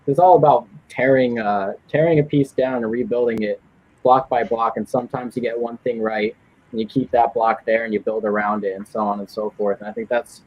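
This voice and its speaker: Young man, husky voice, lo-fi sound. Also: medium pitch, steady tone, a little fast. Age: young adult. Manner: self-deprecating, laughs often. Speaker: guest writer, college student. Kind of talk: casual chat.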